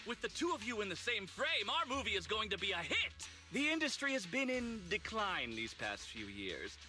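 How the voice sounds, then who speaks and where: A showy film director whose pitch swings widely. He is persuasive and grandiose, a theatrical game character speaking over clear music. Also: very animated, normal speed, pushed and bright, exaggerated voice. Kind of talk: game voice acting.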